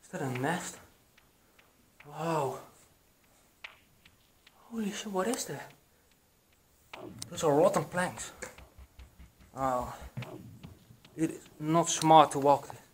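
A young man with a Belgian accent narrating his exploration of an abandoned building to his vlog audience, excited and hushed, with many fillers, recorded on an action camera. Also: fairly high pitch, slow pace, long pauses, a little hiss or hum. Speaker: urban explorer vlogger. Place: abandoned building, echoey halls and attic. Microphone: action camera, handheld or body-worn.

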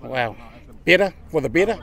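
A man's voice: fairly high pitch, animated, normal speed, gravelly, fairly bright tone. A man, chatting upbeat with strangers at an outdoor lookout, filming himself. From New Zealand. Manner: upbeat, chatty, laughs often. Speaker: travel vlogger. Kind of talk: casual chat with passers-by. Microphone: camera at arm's length.

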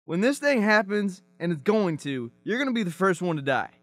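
A young man as a high-pitched panicky doomsayer. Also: big pitch swings, a little fast, over loud music. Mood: alarmed. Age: young adult. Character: panicky, argumentative young man fearing Y2K doom. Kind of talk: amateur student radio drama acting.

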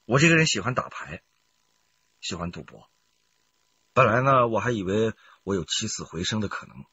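A man's voice: lowish voice, animated, normal speed, sharp tone, slightly rough, lo-fi sound, exaggerated voice. A man playing a cunning, reckless gambler and murder suspect, brazen and sneering, in a radio crime drama. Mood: cynical confessing.

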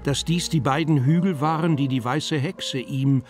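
A man's voice: fairly high pitch, normal speed. A man narrating a children's story from a script as part of an audio drama. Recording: music under the voice.

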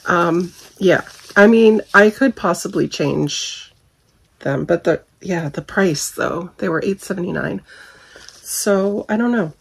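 Woman, 40s, hoarse and gravelly, unhurried and chatty, at-home haul vlogger talking to a camera at desk distance. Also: on the low side. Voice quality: gravelly, husky. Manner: chatty, candid, frequent ums and little laughs. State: losing her voice. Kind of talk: casual product review chat. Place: bedroom at home.

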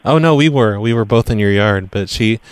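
A man's voice: lowish voice, a little fast, clear, resonant tone, no echo. A man making a casual prank phone call, talking in a nonchalant, insistent, innocent-sounding way. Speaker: prank caller.

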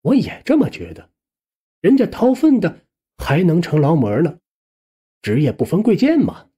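A man's voice: on the high side, huge pitch swings, normal speed, dark tone, breathy edge. A man narrating a first-person story as an audiobook, acting out the dialogue expressively.

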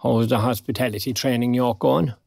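An actor playing a dry, proud but kindly older retired man in an audio drama, with an Irish accent and a gravelly voice. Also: medium pitch, fairly even intonation, brisk, darkish tone, dry recording, close to an everyday voice. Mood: dry, genial. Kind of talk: naturalistic audio drama dialogue.

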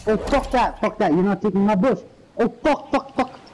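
A man streaming a shooter game, cursing and trash-talking excitedly in casual voice chat with his squad. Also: high-pitched, moderate pace, poor audio. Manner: crude, profane, excitable trash talk.

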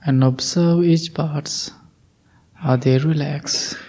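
Male monk, meditation leader; unhurried, warm and soft, calm guiding delivery. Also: a little high. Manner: soft, calm instructions with long pauses. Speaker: Buddhist monk leading meditation. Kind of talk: guided meditation.

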